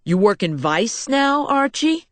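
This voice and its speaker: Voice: mid-range voice, very animated, takes it slowly, no room sound, pitched above the performer's own voice. A reader voicing a boisterous 65-year-old male crime boss who talks crudely and with swagger. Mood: gloating, boisterous. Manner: crude, swaggering, loud storytelling.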